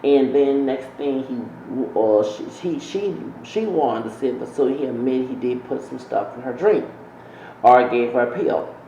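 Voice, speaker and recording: A man with a gravelly voice gives casual, opinionated commentary to his channel's audience, circling back and correcting himself as he goes. The sound is dull and roomy, with a faint hiss behind him. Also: medium pitch, a little slow, mellow. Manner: rambling, self-correcting, opinionated. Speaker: channel host.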